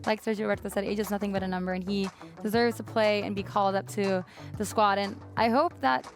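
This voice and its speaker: A woman's voice: mid-range voice, fairly even intonation, quick pace. A young American woman hosts a casual football chat in an upbeat way and keeps the talk moving. Light music plays behind her. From California, United States. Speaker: host.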